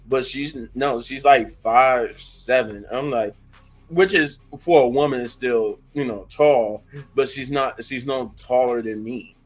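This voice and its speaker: A hoarse man giving a casual, rambling wrestling review, full of fillers and restarts. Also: medium pitch.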